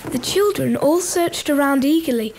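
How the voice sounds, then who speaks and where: Woman, high-pitched, scripted storytelling, radio play narrator. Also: expressive, moderate pace, darkish tone, a little hiss or hum.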